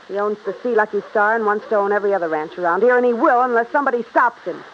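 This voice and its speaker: A woman's voice: medium pitch, very fast, lightly acted. A woman playing a grieving, stubborn young ranch heiress in a 1950s radio drama, pointed and resigned in manner. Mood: bitter, grieving. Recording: muffled, hissy old radio recording.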